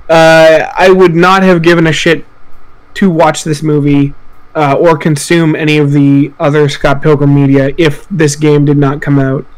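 A man's voice: on the high side, level tone, moderate pace, rough recording. A man hosting a casual podcast chat, swearing freely and ranting with exaggeration. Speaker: podcast host.

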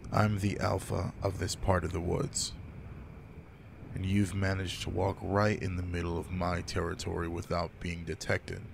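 Gravelly, low alpha werewolf, acted by a man. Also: background noise. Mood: wary, teasing. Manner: slow, teasing, commanding. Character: gruff alpha male werewolf, guarded but caring. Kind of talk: intimate roleplay audio drama.

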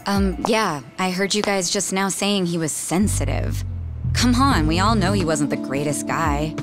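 Prickly young bartender lady, sarcastic n brusque, lowish voice, game cutscene w music behind. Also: measured pace. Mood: skeptical. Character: blunt, prickly young female cabaret bartender. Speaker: voice actor in a game. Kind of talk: English video game cutscene dub.

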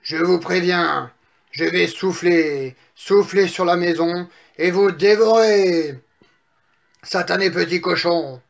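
A man's voice: high-pitched, gravelly, heavily acted. A man voicing a hungry, boastful big bad wolf from a children's storybook, drawing out his menacing threats. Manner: slow, drawn-out threats.

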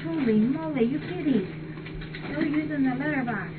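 A woman's voice: high-pitched, normal speed. An older woman chatting casually and appreciatively as she hosts a live webcam stream and reads things aloud. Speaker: cat rescue shelter host. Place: large indoor cat shelter room. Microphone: distant fixed webcam. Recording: muffled, noisy webcam audio from far off.

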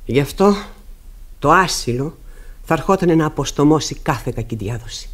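Low-voiced, stern, embittered widow. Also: female voice, expressive. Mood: bitter, resolute. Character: stern, embittered widowed mother in Ibsen tragedy. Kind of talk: classic Greek radio theatre acting.

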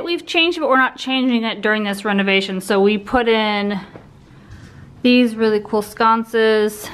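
A young adult woman casually walking through a house under renovation on a handheld phone, talking in a relaxed, rambling way with restarts. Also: a little high. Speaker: vlogger giving a home tour. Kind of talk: casual walkthrough narration. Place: indoors, empty rooms of a house under renovation. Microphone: handheld phone camera.

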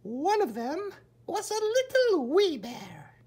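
A tiny wee storybook bear, squeaky and extremely high. The voice is childlike and cute, swinging wildly up and down in a singsong way. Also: male voice, wildly swooping pitch, slow, darkish tone, full character voice.

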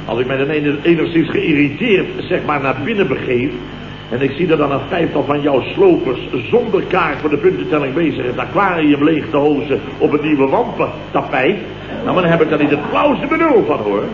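A man's voice: on the high side, animated, moderate pace, lightly acted. Guy playing blustering exasperated office boss, old radio comedy, sarcastic man, echoey and really hissy. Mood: sarcastic, indignant. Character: indignant, sarcastic male office boss telling his night's ordeal. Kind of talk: 1970s Dutch radio comedy acting. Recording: muffled, noisy, roomy old radio recording.

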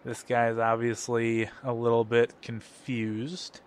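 A man's voice: medium pitch, slow, clear, resonant tone. Man with a cold, casual game commentary. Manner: relaxed, hesitant, lots of ums. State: has a cold. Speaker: let's play host.

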